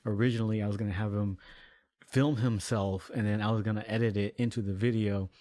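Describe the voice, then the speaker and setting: A man's voice: on the low side, steady tone, fairly quick, mellow, dry recording. A man giving casual commentary on his own video, rambling with many fillers and laughing easily. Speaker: musician and video maker.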